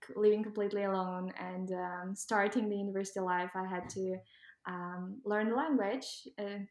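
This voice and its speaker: A young Ukrainian woman with a Ukrainian accent, answering interview questions casually with many pauses and ums. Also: medium pitch, fairly even intonation, a little mellow, echoey room. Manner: hesitant, many ums, laughs while talking. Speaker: guest.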